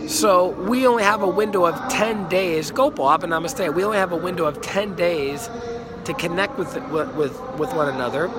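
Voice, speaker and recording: A man, hoarse from a cold, chatting enthusiastically on a live stream and repeating words as he talks. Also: high-pitched, normal speed, crowd noise. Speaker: life coach and healer. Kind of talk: casual live stream monologue. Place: outdoors in a city. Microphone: phone.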